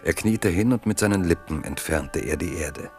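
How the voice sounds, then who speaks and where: A man narrating a historical radio drama in a measured, evocative storytelling manner. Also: low-pitched, soft background music.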